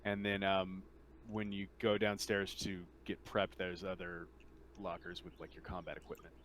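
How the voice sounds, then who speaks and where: A man's voice: sits low, level tone, normal speed, fairly bright tone, a little hiss or hum. A man taking part in a tabletop roleplaying game, casually explaining and chatting in character.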